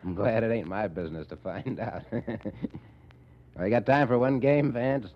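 Male actor as breezy American racketeer for 1940s radio drama: deep voice, tough ungrammatical slang, dulled vintage sound. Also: normal speed, close to an everyday voice. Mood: cocky, breezy. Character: breezy, rough-talking male gang boss, chuckling and cocky. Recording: muffled old radio recording.

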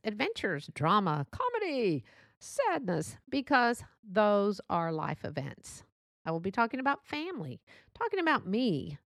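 A woman's voice: medium pitch, no room sound, studio quality. A middle-aged woman from Texas with a Texan accent, hosting a podcast in a chatty, rambling, self-deprecating solo monologue. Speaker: podcast host.

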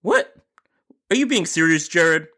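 Pizza store employee in a comic story, put on by the narrator: weary, blunt, incredulous, lifted and lively pitch. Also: measured pace, full character voice.

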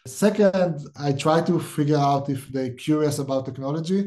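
A man's voice: a little high, moderate pace, dark tone. A middle-aged man from Israel with an Israeli accent, a startup CEO speaking directly and thinking aloud as a podcast guest. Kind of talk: podcast interview.

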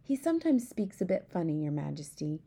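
A woman's voice: fairly low pitch, very animated, a little fast, vocal fry, mellow, slightly rough, full character voice. A woman voicing an eager, bold young girl who pleads and chatters.

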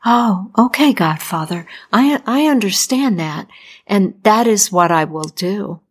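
A woman's voice: medium pitch, expressive, a little slow, slightly rough. A woman voicing a nervous young male doctor who stammers and apologizes in a raised voice. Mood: meek.

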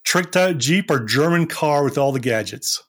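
A man's voice: a little high, lively intonation, normal speed. Man hosting a podcast. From Scotland. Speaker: podcast host. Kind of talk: casual podcast interview.

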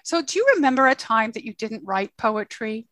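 A woman's voice: fairly high pitch, animated, normal speed, a bit bright. A woman from Canada co-hosting a podcast, reading a guest introduction and asking questions in a friendly way. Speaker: podcast co-host.

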